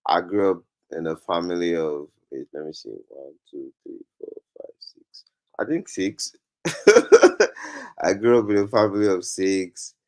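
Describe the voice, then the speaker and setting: A man's voice: sits low, a little slow, darkish tone. A man from Nigeria with a Nigerian accent, chatting casually as a comedian guest on a talk show.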